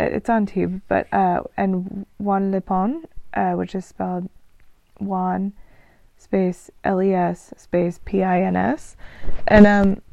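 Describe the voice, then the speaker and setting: A woman chatting casually in a travel vlog, rambling and relaxed, with frequent ums and restarts, on a dull, lo-fi recording. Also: on the low side, gravelly, dry recording. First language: English. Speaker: vlogger.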